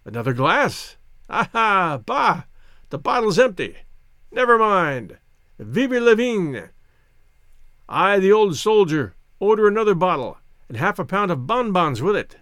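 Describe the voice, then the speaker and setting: A man voicing an effusive, flattering old French soldier with a grandiloquent, wily manner in a story reading. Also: high voice, a little slow, dry recording, clean studio sound, heavily acted. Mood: exuberant, flattering. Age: older.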